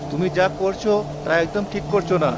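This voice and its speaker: A fast-talking, high-pitched young male doctor, played by a man, brave and compassionate. He reasons earnestly in a cartoon drama, over clear music. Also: close to an everyday voice, rough recording. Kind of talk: animated story acting. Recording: music behind the voice.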